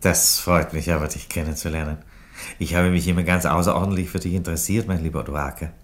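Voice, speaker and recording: A male actor plays a Roman emperor in a radio drama, his voice extremely deep. He reasons calmly and philosophically, with dry irony and polite, measured phrasing. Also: lightly acted. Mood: dry courtesy. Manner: measured, philosophical, drily courteous. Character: calm, ironic, reasonable Roman emperor who loves chickens. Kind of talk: theatrical radio play dialogue.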